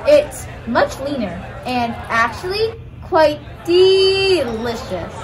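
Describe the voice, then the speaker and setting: A pushy but helpful puppet waitress, acted by a young woman, very high in pitch and unhurried. It is school skit acting, with some chatter and noise behind the voice. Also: big pitch swings, heavily acted, rough recording. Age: young adult.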